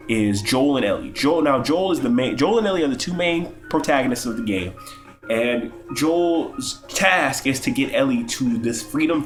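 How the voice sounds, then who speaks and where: A young man with a cold, sniffling as he gives a casual, rambling, profane game review with lots of stammered restarts. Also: medium pitch. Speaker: gaming YouTuber.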